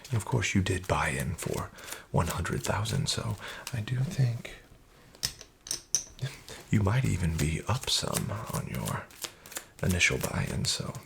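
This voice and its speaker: A man in a soft-spoken roleplay as a smooth, flattering casino dealer, often whispering and slyly nudging the listener to bet more. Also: sits low, slow, noisy background. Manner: whispery, with much unvoiced soft speech. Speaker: ASMR roleplay creator playing a casino dealer.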